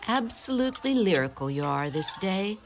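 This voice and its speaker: A woman playing a devout child-placement counselor in a community radio play, warm and playful in her talk. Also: medium pitch, very animated, moderate pace, slight background noise.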